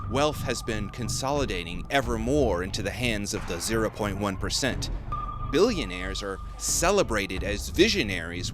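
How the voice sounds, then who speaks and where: A man narrating a scripted video essay in an emphatic, grave, deliberate manner. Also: lowish voice. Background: music.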